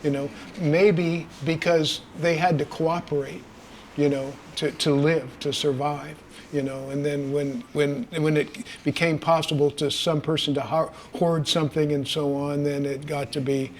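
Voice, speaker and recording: A man speaks casually in a community room, his voice sitting a little high as he stammers and repeats words while answering questions from the audience. Faint room noise hangs in the background. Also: level tone, measured pace. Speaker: author at a reading.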